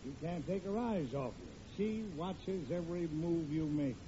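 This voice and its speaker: Man playing a grumpy, suspicious, higher-voiced father. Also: moderate pace, close to an everyday voice. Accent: American. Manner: needling, skeptical. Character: grumpy, suspicious middle-aged father of grown daughter. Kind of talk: old-time radio sitcom acting. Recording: muffled, noisy vintage radio recording.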